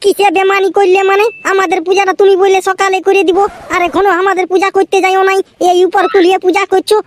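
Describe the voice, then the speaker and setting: A man voicing a pushy, impatient village student in a comic cartoon, with a Purulia Bengali accent and a pitched-up voice. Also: completely flat delivery, fast. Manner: demanding, rustic. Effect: pitch-shifted up. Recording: clearly noisy track with clicks.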